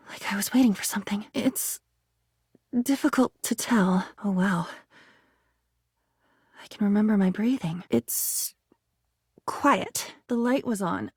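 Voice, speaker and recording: A woman voicing a whimsical, neurotic living diary in a video game, with a theatrical, rambling way of talking that swings between wonder and excitement. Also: medium pitch, husky, no room sound, studio quality. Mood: hushed, reminiscing. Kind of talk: video game character acting.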